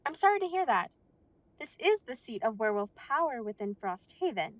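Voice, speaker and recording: A woman's voice: a little high, very animated, moderate pace, no room sound. A woman playing a polite, businesslike female werewolf receptionist at a council chamber.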